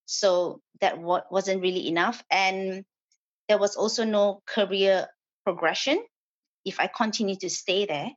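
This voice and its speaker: A woman's voice: mid-range voice, a little slow, dull audio. A woman from Malaysia, a property developer, speaking as a podcast guest, hesitant with many fillers and restarts.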